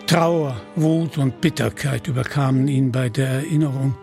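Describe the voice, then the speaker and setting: A man reads a scripted storybook narration in a measured way. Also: mid-range voice, fairly even intonation, creaky, darkish tone, faint music behind.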